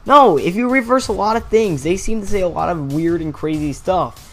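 A young man talks through a gaming theory in a loose, rambling way, correcting himself and chuckling now and then. His voice sits a little high for a man. Faint music plays underneath. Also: lively intonation, normal speed, a bit bright. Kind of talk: casual voice-over commentary. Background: faint background music.

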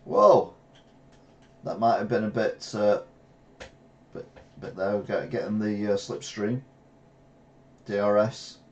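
Excitable English man commentating a race game. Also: on the low side, slow pace, pauses often. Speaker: retro gaming streamer. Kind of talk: live gameplay commentary. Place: small room at home, seated in gaming chair.

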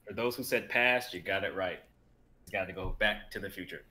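A man's voice: sits low, brisk, pushed and bright. A man in his twenties chatting casually and reading his script on a group video call. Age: 20s. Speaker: writer and performer on the stream. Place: indoor room on a video call.

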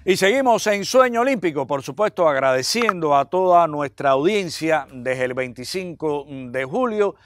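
A man's voice: a little high, expressive, normal speed, few pauses, soft background music. A middle-aged Cuban man presenting and interviewing on a television sports programme.

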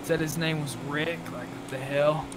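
A man's voice: a little high, level tone, moderate pace, background noise. A man chatting casually in video game roleplay, swearing freely with mock indignation. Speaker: gamer, roleplay streamer.

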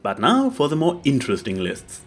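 A man's voice: mid-range voice, huge pitch swings, moderate pace, slightly rough. A man hosting a YouTube video, delivering scripted opinion commentary. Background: music.

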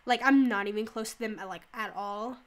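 A woman's voice: fairly high pitch, normal speed. A teenage girl, stuffy from a cold, chatting casually while playing, rambling with lots of "like". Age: teens. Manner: rambling, lots of "like", yelps and laughs during play. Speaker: gaming YouTuber. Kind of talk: casual gameplay chat.

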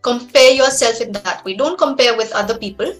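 Bright, high-voiced young Indian woman. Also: a little fast, soft background music. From Shillong, Meghalaya, India. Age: young adult. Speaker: English trainer. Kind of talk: encouraging conversational coaching. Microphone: headset on video call.

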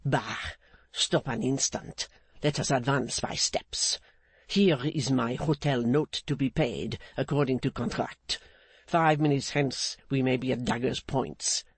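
A man voicing a menacing, swaggering male blackmailer who talks in a slow, mocking, insolent way. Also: medium pitch, vocal fry, breathy edge, no room sound. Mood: sneering.

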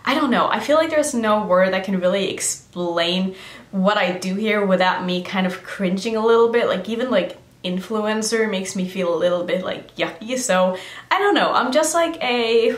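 Casting: thirties woman vlogger, mid-pitched, loose and filler-heavy, recorded in a roomy space. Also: lively intonation, normal speed. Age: 30s. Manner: chatty, lots of "like" fillers. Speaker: beauty vlogger. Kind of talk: casual Q&A vlog. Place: decorated room indoors. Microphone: camera framing head and shoulders.

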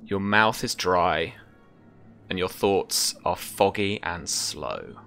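Deep, bright-voiced man narrating a game. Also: lively intonation, slow pace, vocal fry, faint music behind. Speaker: game master. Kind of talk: descriptive tabletop game narration. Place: small indoor room at home.

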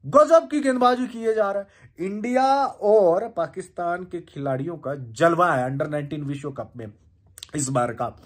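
Excited young man ranting about cricket. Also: high-pitched, moderate pace. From India. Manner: excited, animated, gestures with hands. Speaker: cricket commentator reacting. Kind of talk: casual reaction rant. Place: indoor room on a leather couch.

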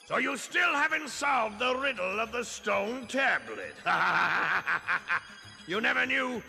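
Gravelly evil skeleton robot boss, high snarly voice, gloating cartoon villain. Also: male voice, animated, slow, sharp tone, heavily acted. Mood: gloating menace. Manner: theatrical, taunting, grandiose. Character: gloating skeletal robot ghost overlord, male, menacing. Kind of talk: 1980s cartoon villain acting. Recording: long reverb, faint music behind.

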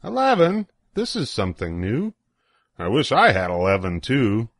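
An older man reading a children's picture book aloud in an expressive, sing-song way with deliberate pauses. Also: mid-range voice, no room sound, clean studio sound. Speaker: storybook reader.

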